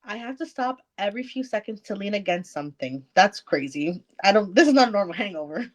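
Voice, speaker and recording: A woman's voice: medium pitch, lively intonation, fast. A woman co-hosting a book club podcast, reading aloud dramatically and casually, breaking off to comment and swear.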